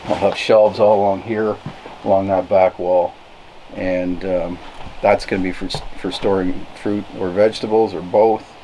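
A man in his fifties explaining casually to camera, unhurried and thinking aloud as he goes. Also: sits low, flat delivery, dark tone, low-quality recording. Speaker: vlogger, cabin builder. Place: outdoors in a forest, open timber frame. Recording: clear background noise outdoors.

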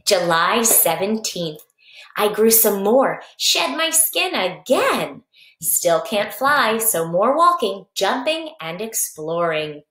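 A small, cocky young praying mantis tells his storybook diary , read by a woman. He is playful and boastful, in short, expressive sentences. The sound is echoey, like a basement room. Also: medium pitch, takes it slowly. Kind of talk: expressive children's storybook reading.

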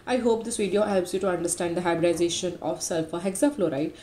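A woman's voice: fairly low pitch, fairly even intonation, fast, dark tone. A woman presenting as a tutor, explaining step by step in a tutorial narration. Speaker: chemistry tutor, channel presenter. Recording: roomy echo with background noise.

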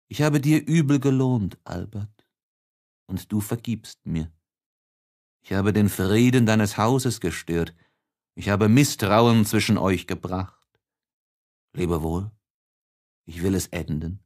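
A man voicing a despairing, tender young man's farewell letters in an audiobook, solemn and halting, often hushed at the ends of phrases. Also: lowish voice. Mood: remorseful, pleading. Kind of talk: dramatic audiobook reading.

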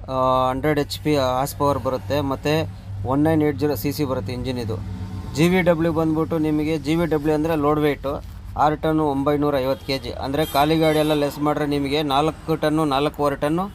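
Chatty trucker guy, talks quick and flat, bit of road noise outside. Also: a little high. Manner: chatty, addresses viewers as brother and friends. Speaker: truck driver vlogger. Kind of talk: casual explainer vlog.

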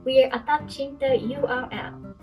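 A woman's voice: high-pitched, fairly even intonation, moderate pace, a little mellow, distant mic. A woman shop assistant, explaining clothes with hesitant fillers in a large indoor shop. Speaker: shop staff. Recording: off-mic, music behind.

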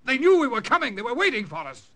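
An actor playing a hot-headed, suspicious wartime corporal in an old-time radio drama, excitable and insistent. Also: male voice, extremely high pitch, fast talker, exaggerated voice. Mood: angry.

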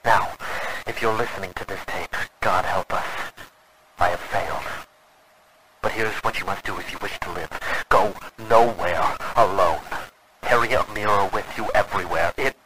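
An actor playing a desperate, devoted man recording a last message, speaking gravely and haltingly in radio drama style. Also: sits low, noisy background, lightly acted. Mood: grim urgency. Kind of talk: golden age radio drama acting.

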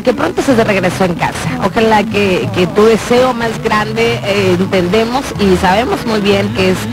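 A woman's voice: mid-range voice, poor audio. A Mexican woman radio host chatting casually and colloquially, jokey as she reads out listeners' messages. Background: loud music under the voice.

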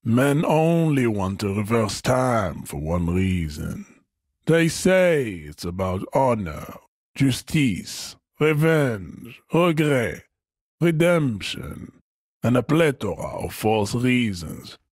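A man's voice: medium pitch, sing-song, slow, darkish tone, slightly rough, no echo, studio quality, full character voice. An actor playing a pompous, imposing male ideologue in a video game, proud and disdainful, declaiming with grand emphasis. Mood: grand, declamatory. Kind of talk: theatrical video game character acting.

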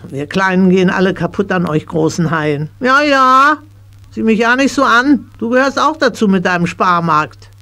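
A woman's voice: medium pitch, lively intonation, moderate pace, pushed and bright. An actress playing a middle-aged, careworn shopkeeper mother in a children's radio play, grumbling and chiding. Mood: agitated, grumbling. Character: scolding, careworn shopkeeper mother of a horse-mad girl.

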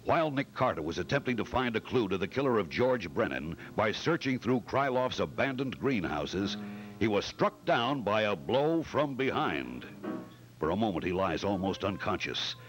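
Over soft music, a man acting as a radio serial narrator speaks low. He tells the detective story with suspense and widely varied pitch, in measured 1940s style. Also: very animated, rough recording. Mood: suspenseful. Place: hotel function room stage, indoors.

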